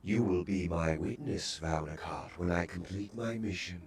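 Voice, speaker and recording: A man voicing a menacing, ancient male robot villain in a video game cutscene, speaking with a slow, gravelly rumble. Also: steady tone. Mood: vengeful. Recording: game cutscene with music behind.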